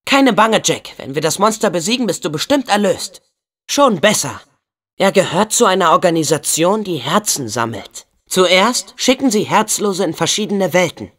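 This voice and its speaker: A man's voice: high-pitched, big pitch swings, normal speed, fairly bright tone, exaggerated voice. A young man voicing a plucky, kind-hearted teenage boy hero in a video game dub, earnest and spirited, with casual youthful phrasing. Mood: determined.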